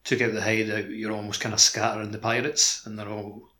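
A man's voice: on the low side, flat delivery, brisk, bright, forceful tone. A man co-hosting a podcast, chatting casually and loosely about a video game. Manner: loose, informal, frequent "like". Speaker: podcast co-host.

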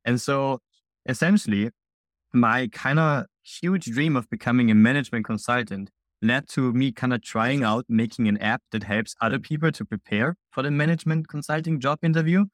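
A young man from Austria, a software founder, answering interview questions in an upbeat, chatty way full of fillers. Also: medium pitch, dry recording, studio quality. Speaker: guest, indie founder.

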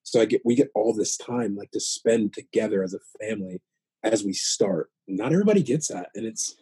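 A man in his late thirties with an American accent speaks in a mellow voice, recorded close and dry. He chats in a sincere, reflective way, often pausing and repeating himself as he thinks. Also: lowish voice, lively intonation, husky, dry recording. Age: late 30s. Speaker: podcast guest, new father. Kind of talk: casual heartfelt chat.

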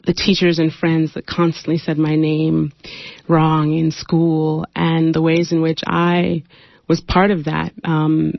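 Woman poet from Nigeria, young adult, low creaky voice, reflective and unhurried on a radio show. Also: monotone, no room sound. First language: Igbo. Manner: thoughtful, unhurried, with fillers; raised, pointed delivery when reading poetry. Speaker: poet, interview guest. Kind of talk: radio interview, then poetry reading. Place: radio studio. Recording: muffled dry radio studio sound.

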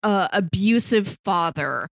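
Female podcast co-host, medium pitch, relaxed conversational delivery, very dry recording. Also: takes it slowly, gravelly, dry recording. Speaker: podcast co-host discussing films. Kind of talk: casual chat.

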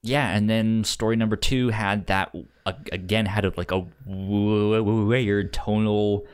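A man with a slightly low voice chats casually as a podcast co-host about a film. He speaks slowly and haltingly, often catching on a syllable and repeating it before he goes on. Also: steady tone, clear, resonant tone.

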